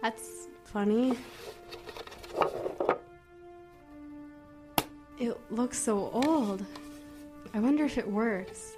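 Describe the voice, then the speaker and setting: High-voiced sulky teenage girl. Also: takes it slowly, loud music behind the voice. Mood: curious, muttering. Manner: sulky, whiny. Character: sulky fifteen-year-old schoolgirl, whiny and impulsive. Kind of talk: audio drama acting.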